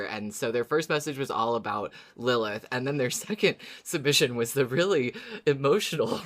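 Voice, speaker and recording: A woman co-hosting a podcast, talking casually as a fan and agreeing effusively. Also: rumbling low. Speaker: podcast co-host.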